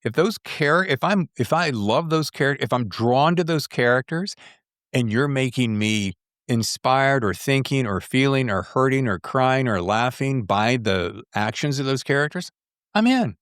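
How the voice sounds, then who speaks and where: A man hosting a casual interview, talking in an excitable, jokey, digressive way as a fellow writer. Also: medium pitch, unhurried, no room sound, clean studio sound. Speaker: podcast host and writer.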